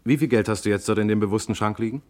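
An actor as a deep-voiced, probing investigator. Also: male voice, a little mellow, lightly acted. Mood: calm, probing. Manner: matter-of-fact, inquisitive, measured. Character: calm, probing investigator, friend of the family. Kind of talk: German radio crime play acting.

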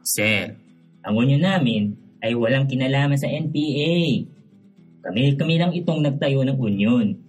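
An actor voices a defiant male union president for radio drama. His fairly high voice rises and falls freely as he speaks up earnestly and respectfully, music faint behind him. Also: a little mellow. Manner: respectful but assertive.

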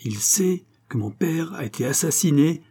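A man's voice: on the high side, expressive, moderate pace, mellow, slightly rough, voice pushed up. A man voicing a furious young nobleman bent on avenging his father, with strident, accusing outbursts in an audiobook. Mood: grim accusation. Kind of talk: audiobook dialogue acting.

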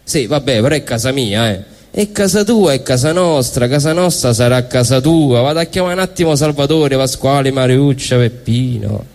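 A man's voice: medium pitch, animated, normal speed, hardly any pauses, a little mellow. A man with a Roman accent reading comic dialogue live on stage in a colloquial, exasperated way. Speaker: stage performer. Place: indoor stage at a live event. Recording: reverberant live hall through PA.

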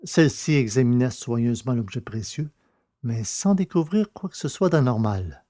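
A man narrating a scripted adventure story in a measured, literary way. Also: mid-range voice, animated, mellow, no room sound, clean studio sound.

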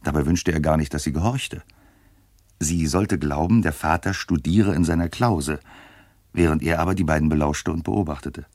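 A deep-voiced, dry-sounding storyteller. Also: male voice, a little mellow, dry recording. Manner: measured, literary storytelling. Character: calm, knowing male storyteller. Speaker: narrator. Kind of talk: scripted radio play narration.

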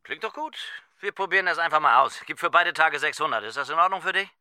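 An actor playing a jovial, breezy dance band leader making salesmanlike small talk in a radio drama. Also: male voice, fairly high pitch, expressive, fast, bright, forceful tone, clean tone. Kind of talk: radio drama phone dialogue.

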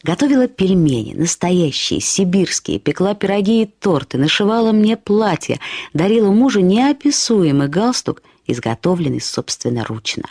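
An actress narrating a short story as a woman fondly and ironically recalling a friend, with expressive, slightly husky delivery. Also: lowish voice, moderate pace, no echo, studio quality. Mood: fond, wry. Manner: acts out quoted remarks with lively intonation. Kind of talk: expressive literary storytelling, first-person reminiscence.

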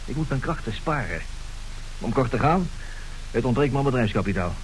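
A man acts out a vain, scheming middle-aged marriage swindler in a Dutch radio play. He declaims grandly in a theatrical, smooth-talking way at a medium male pitch. The old recording carries a light hiss. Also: lively intonation, a little fast, natural voice, rough recording. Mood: complaining.

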